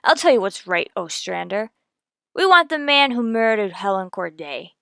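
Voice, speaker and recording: Female actor as tough lady detective, mid-pitched, terse, hard-boiled, radio crime drama, dry sound. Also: expressive, normal speed, gravelly, pushed and bright, clean tone, no echo. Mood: stern. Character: tough, no-nonsense female police detective, firm. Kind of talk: old-time radio detective drama acting.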